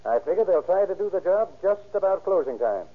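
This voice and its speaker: Commanding police chief, lively intonation. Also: male voice, high voice, breathy edge, full character voice. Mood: commanding, brisk. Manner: brisk, authoritative. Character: commanding, calm male police chief, protective. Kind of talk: 1930s radio drama acting. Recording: tinny muffled 1930s radio recording.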